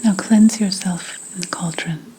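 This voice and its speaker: Slow, whispering old witch, acted by a woman. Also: medium pitch, fairly even intonation, a little hiss or hum, lightly acted, poor audio. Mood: suspicious, probing. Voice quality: breathy whisper mixed with soft voice. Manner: slow, deliberate, suspicious questioning with dramatic pauses. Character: wary, sardonic old 17th-century witch, potion maker. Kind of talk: ASMR roleplay, whispered and soft-spoken.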